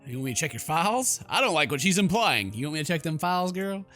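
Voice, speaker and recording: A man's voice: fairly high pitch, big pitch swings, fast, fairly bright tone, faint music behind. A man giving casual, reactive gameplay commentary in a mocking, jokey manner. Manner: mocking, jokey, mimics characters. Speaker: gaming video host.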